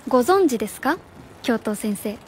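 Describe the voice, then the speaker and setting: A woman's voice: a little high, lively intonation, normal speed, slight background noise, natural voice. A woman acting in a Japanese radio drama as a stiff, earnest young schoolteacher, proud yet vulnerable, speaking formally and politely. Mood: earnest resolve.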